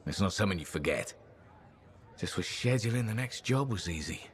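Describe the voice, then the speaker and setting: Upbeat, easygoing adventurer pilot. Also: male voice, deep voice, expressive, a little fast. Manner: folksy, chatty storyteller. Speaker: video game character actor. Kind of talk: video game dialogue acting.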